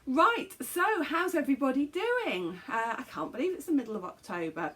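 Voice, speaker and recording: A woman's voice: sits high, big pitch swings, normal speed, pushed and bright. A woman in her fifties with an English accent, hosting a knitting podcast in casual chat, rambling with many fillers and self-corrections while talking to a camera in a small room at home. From England. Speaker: podcast host. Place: small bedroom craft room, indoors.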